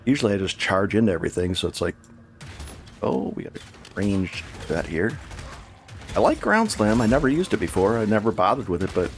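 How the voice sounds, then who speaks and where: Gravelly-voiced man streaming a game. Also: lowish voice, big pitch swings, brisk, slight background noise. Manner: rambling, self-correcting, chatty with viewers. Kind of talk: casual live gameplay commentary.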